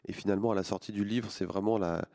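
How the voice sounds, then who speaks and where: Comics artist guy in his 40s, lowish voice, keeps stumbling and restarting, thoughtful interview talk. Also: steady tone, fast, warm tone. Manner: hesitant, many restarts and fillers. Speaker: comic book artist and author. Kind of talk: interview answers.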